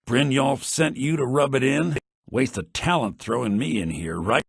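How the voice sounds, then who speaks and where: A male actor playing a brusque, self-assured townsman in a fantasy video game, with a husky voice and a theatrical, deliberate delivery. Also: medium pitch, no echo, clean studio sound. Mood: irritable. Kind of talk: fantasy role-playing game character dialogue.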